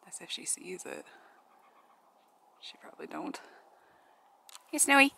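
A woman's voice: lowish voice, animated, unhurried, creaky, sharp tone, slightly rough. A woman talking casually and affectionately to wild birds while she films in the woods. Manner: affectionate pet-talk. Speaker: birdwatching vlogger. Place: outdoors in snowy woods. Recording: outdoor camera audio with background noise.

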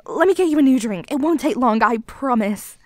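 A woman's voice: high-pitched, lively intonation, brisk, darkish tone, no room sound. A woman playing a clumsy, flustered young barista who stammers and apologizes in an audio roleplay. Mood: shy, flustered. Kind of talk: ASMR roleplay acting.

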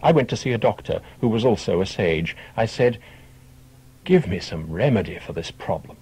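A man with a husky voice reading short teaching tales as scripted audiobook narration. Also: lowish voice, animated, normal speed, darkish tone.

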